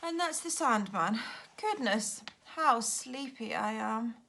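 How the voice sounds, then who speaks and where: A friendly magical storybook man, acted out by a woman reader. The voice is bright and very animated in pitch, with an easy-going, exclamatory way of talking. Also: slow pace, creaky, slight background noise, full character voice. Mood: drowsy. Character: jolly, sleepy round-faced Moonface, friendly magical man.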